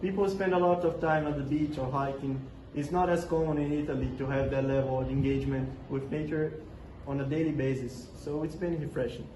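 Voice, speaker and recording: A young man with a slightly high voice reads prepared interview answers at a steady pace. The room is echoey, and clear background noise runs underneath. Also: a little fast. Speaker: role-play interviewee. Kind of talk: scripted school role-play.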